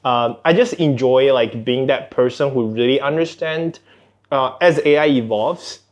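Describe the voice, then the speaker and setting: A man's voice: medium pitch, a little slow. A man speaking casually as a guest in a podcast interview, often restarting his phrases. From born outside Singapore, Chinese cultural background. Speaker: podcast guest, tech executive.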